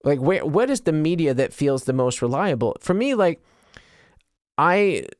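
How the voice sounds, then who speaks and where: A man's voice: on the high side, very animated, measured pace, dry recording, studio quality. A man with an American accent hosting a podcast, talking casually in a rambling way and often interrupting himself. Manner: self-interrupting, trails into tangents. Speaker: podcast host.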